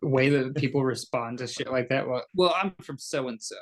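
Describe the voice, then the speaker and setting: A man's voice: medium pitch, moderate pace. A man co-hosting a podcast in a casual chat, speaking bluntly and jokingly with plenty of swearing. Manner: blunt, profane, jokey. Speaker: podcast co-host.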